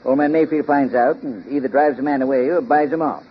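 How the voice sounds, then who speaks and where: An actor playing a detective's gruff, inquisitive middle-aged father in 1930s radio drama, with brisk questioning. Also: medium pitch, close to an everyday voice. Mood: brisk, puzzled. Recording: muffled, noisy 1930s radio recording.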